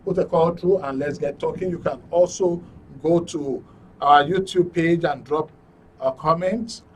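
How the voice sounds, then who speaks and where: A man's voice: on the high side, unhurried, recorded from a distance, slight background noise. A man with a Nigerian accent hosting a live call-in talk show on radio and TV from a studio. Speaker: radio and TV host. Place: broadcast studio.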